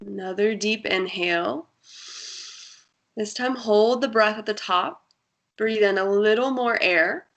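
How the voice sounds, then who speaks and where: Slow, soothing woman guiding meditation. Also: medium pitch. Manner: slow, soft and soothing, with long pauses. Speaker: meditation guide. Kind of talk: guided breathing meditation.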